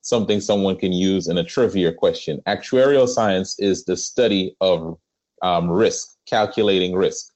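A man with a Trinidadian accent, a pastor telling his life story as a podcast guest, with many pauses. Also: sits low, a little mellow, dull audio. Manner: earnest storytelling with frequent "um" pauses. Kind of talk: conversational interview.

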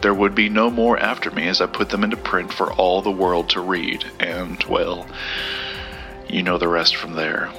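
A man playing a somber, honest author haunted by loss in an audio drama, speaking in a measured, understated way. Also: sits low, flat delivery, music in the background.

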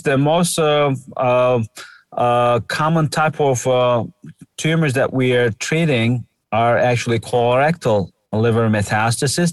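Middle-aged man with Turkish accent, podcast guest, explains with many pauses and uh's, dry close sound. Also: mid-range voice, dry recording. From Turkey. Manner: hesitant, many "uh" fillers, explanatory. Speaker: surgeon guest. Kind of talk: podcast interview.

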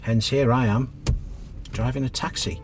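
An actor playing a kindly, encouraging middle-aged British taxi driver in a radio play, reassuring and plain-spoken. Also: male voice, mid-range voice, steady tone, measured pace, slight background noise, close to an everyday voice. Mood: sympathetic.

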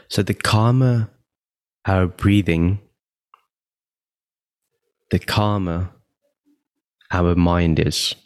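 Male meditation guide, very low pitch, unhurried and calm delivery with long pauses, dry close sound. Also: dry recording.

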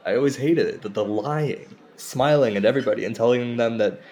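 An actor playing an insecure, self-deprecating comedian in a naturalistic audio drama, halting, confessional and profane. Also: male voice, medium pitch, darkish tone, lightly acted. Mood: ashamed, bitter. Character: insecure, self-deprecating Indian-American stand-up comedian, torn by shame.

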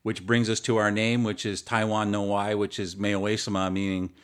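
A man hosting a podcast in casual chat, earnest and a little halting. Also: lowish voice, flat delivery, fairly bright tone, smooth. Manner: earnest, with some restarts. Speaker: podcast host.

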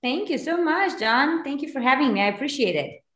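A woman's voice: sits high, lively intonation, a little fast, a bit bright. A woman in her forties from Romania, a realtor guest in a casual interview, chatty with many fillers and restarts. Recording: echoey room.

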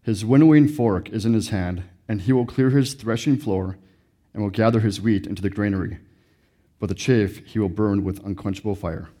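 Low, mellow-voiced man reading scripture. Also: normal speed. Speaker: scripture reader.

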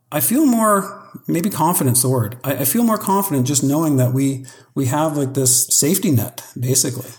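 A man from Canada, a guest in a casual podcast conversation, hesitant and searching for words, often repeating himself, with sudden upward jumps in pitch. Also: darkish tone. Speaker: guest, company co-founder.

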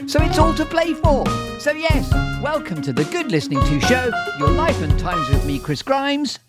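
A man's voice: very high-pitched, very animated, normal speed, over loud music. Playful, effusive male podcast host. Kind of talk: interview with a scripted-sounding show intro.

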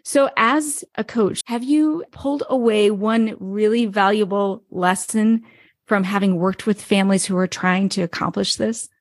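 A woman's voice: medium pitch, a little slow, no room sound, studio quality. A woman hosting a podcast who asks interview questions in a friendly, affirming way. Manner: friendly and affirming, laughs easily. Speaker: podcast host.

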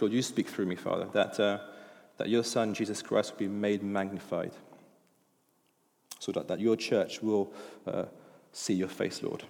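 A man's voice: on the low side, gravelly, darkish tone, big-room sound. A man in his thirties, a native French speaker, gives a sermon in an informal, chatty way, full of restarts and fillers. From France. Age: 30s. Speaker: preacher. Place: church hall.

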